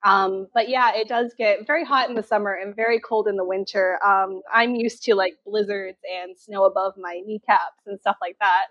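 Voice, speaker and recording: A woman's voice: mid-range voice, fairly even intonation, normal speed, hardly any pauses, lo-fi sound. A woman from Southern Ontario with a Canadian accent, chatting casually as an interview guest. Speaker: podcast guest.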